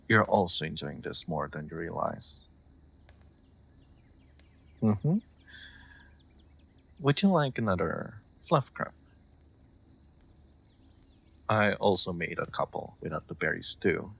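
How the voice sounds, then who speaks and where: A man playing a gentle, wry nobleman in an intimate audio roleplay, soft-spoken and a little hesitant, with formal wording. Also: low voice, creaky, breathy edge, exaggerated voice. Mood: playful, attentive. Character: gentle, wry young nobleman comforting a guest. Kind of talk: intimate scripted audio roleplay, addressed to listener.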